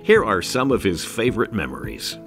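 Guy narrating a documentary, kinda low voice, music playing under him. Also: big pitch swings, fairly quick. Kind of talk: scripted narration.

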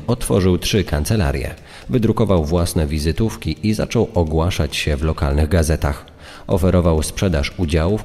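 A man narrates a crime story from a script in a very low, gravelly voice. The tone is dark, and a long reverb trails each phrase. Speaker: secondary true-crime narrator.

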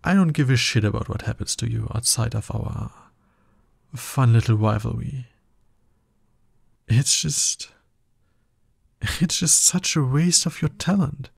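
A man playing a husky, smug, seductive villain. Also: medium pitch, darkish tone, dry recording, studio quality. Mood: scornful, intense. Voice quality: husky, breathy. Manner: slow, condescending, teasing. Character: smug, seductive, dominant male villain courting his hero nemesis. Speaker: voice actor in an ASMR roleplay. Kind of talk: ASMR roleplay monologue.

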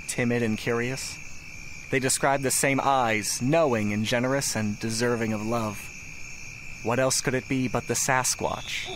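An actor playing a wistful, mystery-loving man who narrates a reflective letter to his brother in an audio drama, in measured, literate phrases. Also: medium pitch, a bit bright, close to an everyday voice. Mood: reflective, wry. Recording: music behind the voice.

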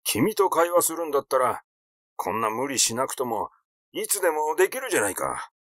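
A man's voice: medium pitch, big pitch swings, a little fast, a bit bright, no echo, studio quality. A man voicing a cynical, blunt and grumbling male character in a mystery audiobook. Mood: irritated.

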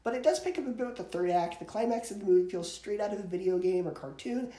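A man's voice: high-pitched, animated, fast talker, warm tone. A man, giving a casual, opinionated scripted movie review as a countdown. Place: small indoor bedroom with posters. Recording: roomy, echoey home recording.

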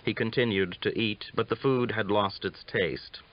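A man's voice: on the low side, moderate pace. A man reading a western novel aloud as a scripted audiobook narration. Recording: dull lo-fi audiobook recording.

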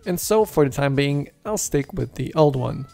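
Male tech presenter for scripted tutorial voice-over, noticeable vocal fry, music bed underneath. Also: fairly high pitch, animated, normal speed, dark tone. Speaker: tech video host. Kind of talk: scripted how-to voice-over narration. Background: background music.

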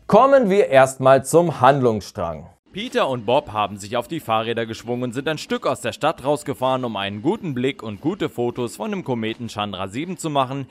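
Man narrating with lively intonation. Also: mid-range voice. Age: 30s. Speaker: host of an audio drama review channel. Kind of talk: scripted review narration with personal anecdote. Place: small furnished room, indoors. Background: background music.

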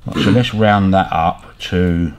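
A man's voice: sits low, steady tone, slow pace. A middle-aged man giving a casual hands-on tutorial in an offhand way, often tagging questions onto his remarks. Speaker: workshop mechanic demonstrating metal fabrication. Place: large garage workshop, indoors. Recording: clear background noise in workshop.

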